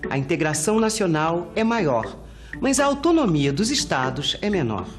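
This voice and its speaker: A woman's voice: on the low side, very animated, normal speed. A woman with a Brazilian accent reading a scripted educational history narration as a documentary narrator. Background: faint music.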